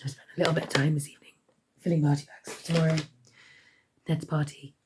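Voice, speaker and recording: A woman giving a casual crafting update, hoarse and half-whispering as her voice fails. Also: low-pitched, flat delivery, quick pace, noisy background. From United Kingdom. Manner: half-whispered, croaky delivery. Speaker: crochet vlogger.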